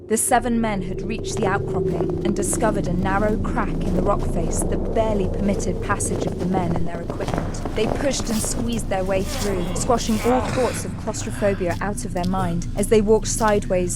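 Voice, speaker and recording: A woman giving serious, deliberate scripted narration for a dramatic audio story. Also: medium pitch, steady tone. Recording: loud music behind the narration.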